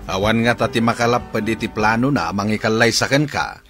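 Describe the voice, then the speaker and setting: A man's voice: on the low side, fairly quick, over music, close to an everyday voice. A man playing a stern, distrustful father in a radio drama, with a Filipino accent. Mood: distrustful, scolding.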